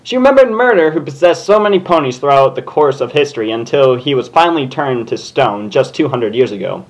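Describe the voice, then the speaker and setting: A man's voice: medium pitch, expressive, fairly quick, poor audio. A man hosting a comedic fan fiction reading, jokey and casual, with plenty of swearing. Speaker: host and fan fiction reader.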